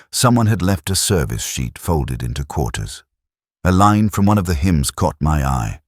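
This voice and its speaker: A man playing a reflective, melancholy middle-aged detective, narrating his case in measured, solemn literary prose. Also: very deep voice, darkish tone, no room sound, studio quality, full character voice. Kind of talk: literary first-person scripted narration.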